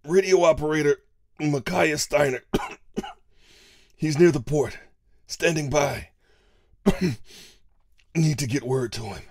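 Man playing an urgent, high-voiced soldier. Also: takes it slowly, long pauses, a little mellow, no room sound, clean studio sound. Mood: strained urgency. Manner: urgent, strained. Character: embattled male soldier under fire, urgent and strained.

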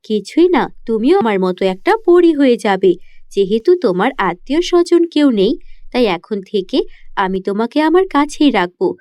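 A woman's voice: fairly high pitch, big pitch swings, moderate pace, a little mellow, no echo, clean studio sound, voice pushed down. A woman voicing a protective, stern forest fairy in a children's cartoon story, commanding yet caring.

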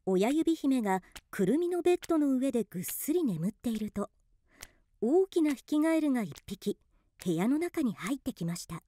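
A woman's voice: high voice, big pitch swings, normal speed, warm tone. A woman reading scripted storybook narration for a radio drama.

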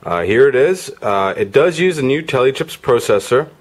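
A man's voice: medium pitch, animated, moderate pace. A man reviewing a product in a casual, matter-of-fact demonstration style, with frank asides.

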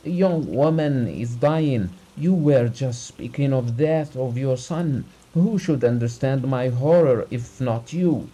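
A man voicing a gentleman who begs a doctor for help in an imploring, flowery, stilted storybook manner. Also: mid-range voice, animated, unhurried, hardly any pauses, pitched above the performer's own voice, poor audio. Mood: insistent, emotional. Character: well-off gentleman desperately begging a doctor for help.

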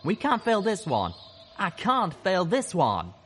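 A man voicing an angry, combative military pegasus stallion, shouting desperately in a dramatic story reading. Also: sits high, huge pitch swings, measured pace, a little hiss or hum, pitched above the performer's own voice, heavily acted. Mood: frantic, furious.

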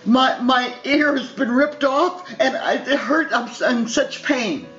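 A storyteller voicing a hurt male coyote who pleads in a high, strained voice. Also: unhurried, a bit bright, dull audio, faint music behind. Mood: pleading.

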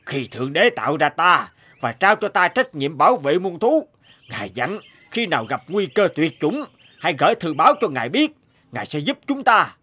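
A man's voice: on the high side, sing-song, moderate pace, heavily acted. An actor voicing a stern, indignant tiger king in a cartoon dub, solemn, dramatic and commanding. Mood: grave, commanding. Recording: loud music behind the voice.

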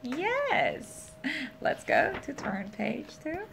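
Woman teacher, reading slowly to a kid, very up and down, patient. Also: a little high, huge pitch swings, vocal fry, very noisy. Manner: patient, prompting and repeating simple phrases. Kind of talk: read-aloud lesson with a young child.

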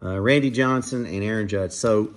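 A man casually commenting to viewers while opening trading card packs at a dining table at home, in a relaxed, chatty way. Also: lowish voice, normal speed. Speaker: card breaker, channel host.

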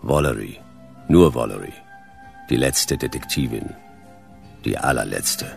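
A world-weary private eye in a noir radio drama. His voice is extremely low and gravelly, moving in terse, laconic sentences with expressive swings over background music. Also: a little slow, heavily acted. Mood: dry narrating. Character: hard-boiled, world-weary male private detective. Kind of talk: noir radio play narration and dialogue.

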